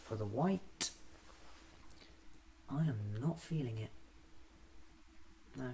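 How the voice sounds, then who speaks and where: Man acting as a quirky alien time traveller, ASMR roleplay, extremely slow deliberate speech, swooping intonation, soft musing to himself. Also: medium pitch, lively intonation, a little hiss or hum, rough recording. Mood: musing, pleased. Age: 30s. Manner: slow, deliberate, hesitant self-musing in short phrases.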